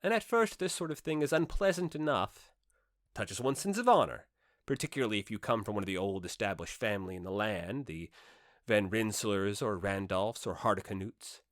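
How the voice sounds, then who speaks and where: A man with a fairly low voice narrates an old literary novel in the first person. He reads with theatrical emphasis and deliberate dramatic pauses. The recording is close and dry, with very little room sound. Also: expressive, no echo. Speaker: audiobook narrator.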